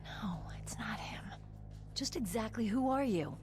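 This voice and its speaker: An actress playing a young American rookie soldier in a video game, feisty and wary. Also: female voice, a little high, a little slow, close to an everyday voice. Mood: wary, challenging. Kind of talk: video game cutscene acting. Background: game music.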